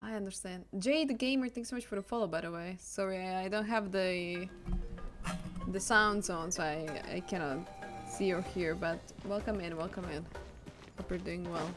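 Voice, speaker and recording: A woman livestreaming a game, chatting casually and a little haltingly as she reacts. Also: on the low side. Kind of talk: casual live reaction chat. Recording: game music behind the voice.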